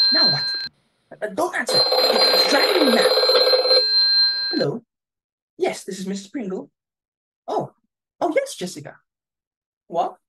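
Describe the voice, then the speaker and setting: A woman's voice: fairly high pitch, sharp tone, slightly rough, exaggerated voice. A woman playing a fussy, flustered society host in an amateur play reading, speaking huskily in fretful exclamations. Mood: flustered, irritated.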